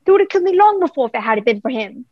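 A woman's voice: high-pitched, very animated, rapid-fire, no echo, muffled recording. A young woman playing a wounded, earnest, loyal cabin boy in a radio play. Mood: distressed.

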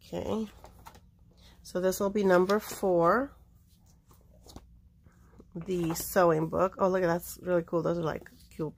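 Chatty woman with a gravelly low voice. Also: fairly even intonation, takes it slowly, pauses often, a little hiss or hum. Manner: chatty, rambling, trails off mid-sentence. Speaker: seller showing books for auction. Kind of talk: casual show-and-tell. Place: indoors at a table of books.

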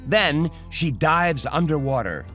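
A man's voice: on the high side, sing-song, slow. A man reading scripted audio description as a narrator. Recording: loud music under voice. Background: music with guitar and singing.

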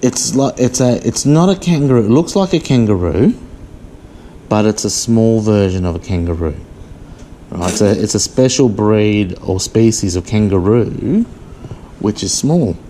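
A woman reading a picture book aloud to a small child in a living room, with measured phrasing and casual questions in between. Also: extremely low pitch, expressive, warm tone. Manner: measured storybook phrasing, asking simple questions. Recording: room noise behind a home camera recording.

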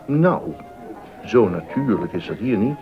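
Low-voiced, sardonic court gossip. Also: male voice, lively intonation, unhurried, over music. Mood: dryly resigned. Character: gossipy, sardonic male court musician and tutor. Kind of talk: Dutch-language period docudrama acting. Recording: dull, muffled audio.

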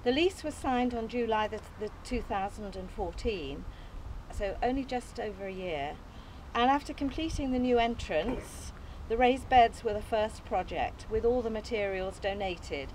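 A woman's voice: fairly high pitch, animated, normal speed, a little mellow, slight background noise, low-quality recording. Older woman giving an outdoor speech. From England. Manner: earnest and modest, with small hesitations and light laughs. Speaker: allotment group founder giving an opening speech. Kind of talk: prepared speech read from notes. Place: outdoors on an open field site. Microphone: camera at a distance, no mic.

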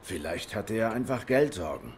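An actor playing a cool, courteous cat private detective in a noir video game, questioning in a measured, polite way. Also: male voice, on the low side, big pitch swings, darkish tone, slight background noise. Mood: calm, probing.

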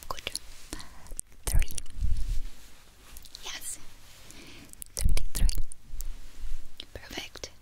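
A young woman performing an ASMR medical exam roleplay as a doctor, giving short, gentle instructions in a soft whisper, very close to the mic. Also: extremely slow, pauses often, poor audio. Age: young adult. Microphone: close ASMR microphones.

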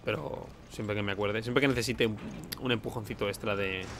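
A man's voice: fairly low pitch, fairly even intonation, a little fast, noisy background. Casual male game streamer, Spain accent, informal and sweary, talks to himself over noticeable game sound. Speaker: let's play host. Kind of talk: casual gameplay commentary.

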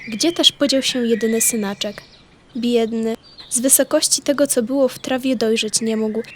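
A woman narrates a scripted children's story in an expressive, folksy storybook manner. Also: fairly high pitch, a little hiss or hum.

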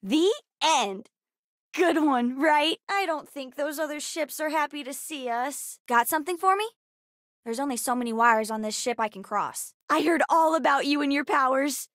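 A woman voicing a bright, bookish, chatty young girl with an American accent, as a video game character. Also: high voice, moderate pace, no room sound, studio quality. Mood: chatty, curious. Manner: chatty, expressive, youthful.

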